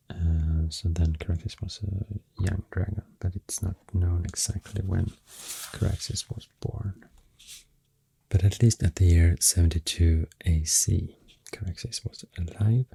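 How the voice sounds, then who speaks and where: A man with a Swedish accent speaks in a very dark, low, breathy murmur that often sinks toward a whisper. He rambles gently in short, halting phrases, taking his time, as in an ASMR recording made right at the microphone. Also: dry recording. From Sweden. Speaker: ASMR creator and host. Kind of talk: soft-spoken ASMR rambling. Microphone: close, dry microphone.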